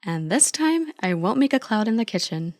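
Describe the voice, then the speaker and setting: A woman's voice: mid-range voice, sing-song, normal speed, a little mellow, slight background noise, natural voice. A woman playing an affectionate, playful girlfriend in an ASMR roleplay, chatty, teasing and supportive as an eager but clumsy baker. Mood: teasing, fond.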